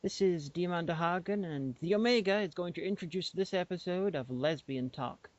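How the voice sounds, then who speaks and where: A woman's voice: fairly low pitch, normal speed, darkish tone, dry recording. A woman co-hosting a podcast, playful and rambling, often restarting her sentences in casual chat. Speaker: podcast co-host. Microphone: remote call microphone. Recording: dull lo-fi online call audio.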